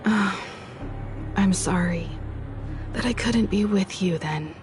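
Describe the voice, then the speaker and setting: An actress playing a mature, thoughtful team leader in a video game, composed and analytical. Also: female voice, mid-range voice, close to an everyday voice. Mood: gentle, thoughtful. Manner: measured, analytical. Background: music.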